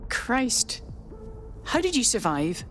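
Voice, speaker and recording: A woman's voice: a little high, big pitch swings, slow pace, fairly bright tone, faint music behind, full character voice. A woman playing a cautious new resistance recruit in a video game, in an everyday voice. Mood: concerned. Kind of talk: scripted video game voice acting.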